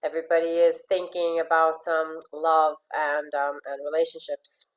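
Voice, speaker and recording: A woman's voice: deep voice, completely flat delivery, normal speed, low-quality recording. A woman coach from Venezuela giving a motivational talk to a group, chatty, often repeating words and laughing lightly between phrases. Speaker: life and relationship coach.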